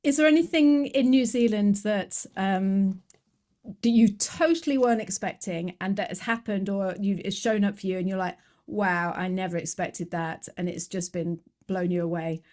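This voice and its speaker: A woman's voice: medium pitch, moderate pace, a little mellow. A woman hosting a conversational podcast interview, chatty and reflective, thinking aloud with frequent restarts. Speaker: podcast host.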